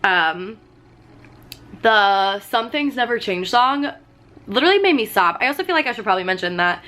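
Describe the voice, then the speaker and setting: Chatty young woman, slightly hoarse. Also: on the high side, animated, moderate pace, pushed and bright. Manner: chatty, full of "like" and "um". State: slightly hoarse, getting sick. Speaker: vlogger. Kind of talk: casual chat while doing makeup. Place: sitting on a fur rug at home.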